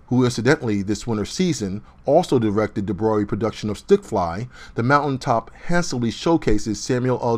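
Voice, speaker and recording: A man reading a scripted theatre review in an even, mid-range voice. His delivery is measured and formal, like a prepared voice-over. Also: medium pitch. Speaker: host, reviewer. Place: voice-over over still images.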